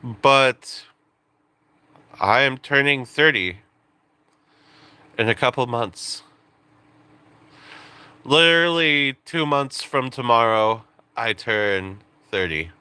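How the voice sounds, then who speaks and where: A man's voice: medium pitch, animated, slow, pauses often, pushed and bright, clean tone. A man in his late twenties, chatting casually on a live stream in a rambling, joking way that often breaks off and restarts. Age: 20s. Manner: rambling, self-interrupting, joking.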